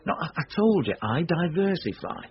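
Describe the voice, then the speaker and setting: A man's voice: a little high, sing-song, normal speed, a little hiss or hum. An actor playing a boastful, slyly confiding self-made businessman with a self-important manner in a radio drama. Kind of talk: British radio drama acting. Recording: dull lo-fi radio recording.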